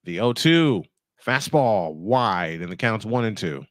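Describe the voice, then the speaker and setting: A man's voice: on the low side, lively intonation, unhurried, no room sound, clean studio sound. A man doing live baseball play-by-play on a stream, mixing in casual chat and rambling as he thinks aloud.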